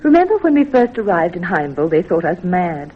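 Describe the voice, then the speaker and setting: An actress playing a supportive, gently reminiscing pioneer wife in an old-time radio drama. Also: female voice, on the low side, very animated, fairly quick, slightly rough. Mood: gentle, fond. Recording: thin, phone-like vintage radio sound.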